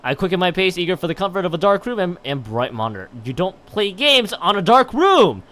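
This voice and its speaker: A man's voice: high voice, sing-song, a little fast, fairly bright tone. A man commentating on a game stream and reading game text aloud in a rambling way, often stumbling and correcting himself. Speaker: live streamer.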